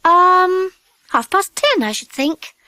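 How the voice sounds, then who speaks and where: A woman's voice: very high voice, animated, slow, bright, forceful tone, no echo, heavily acted. A woman voicing a chatty, teasing young schoolgirl in a children's audiobook, with a pert, girlish, exclamatory delivery. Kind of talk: children's audiobook character acting.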